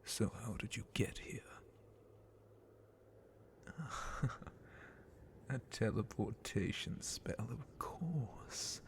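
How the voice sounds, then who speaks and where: A very slow, gravelly male dragon captain for an ASMR roleplay. He half-whispers with haughty contempt and sadistic menace, drawing out each phrase theatrically. The breath is close and rough. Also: on the low side, full character voice. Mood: menacing, probing.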